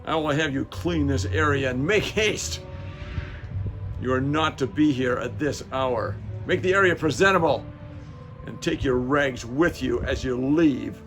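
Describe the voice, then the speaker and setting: A man playing a reflective, doubting Roman soldier who is proud of his rank, speaking in a measured, halting way in a dramatic reading. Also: medium pitch, a bit bright, soft background music. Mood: curt, commanding. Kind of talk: dramatic reading of a church passion play.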